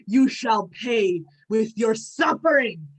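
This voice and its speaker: A woman's voice: on the high side, lively intonation, slow pace. A woman playing a proud, boastful male thunder god in an amateur myth reading, pompous and declamatory. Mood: grave, menacing.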